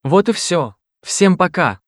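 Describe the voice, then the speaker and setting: A friendly young male student, read out by a man in plain, careful, simple sentences for learners. the voice sits fairly high for a man. it is close and dry, with no room sound at all. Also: lively intonation, takes it slowly, no echo. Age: young adult. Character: young male student introducing his family. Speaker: voice actor in a language test recording. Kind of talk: slow scripted reading for learners.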